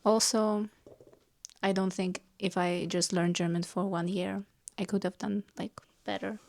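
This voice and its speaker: A woman's voice: mid-range voice, little pitch movement, a little slow, vocal fry, darkish tone. A woman chatting casually with friends, reassuring them and explaining at length, with a lot of "like" fillers. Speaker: friend giving feedback. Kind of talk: casual chat among friends.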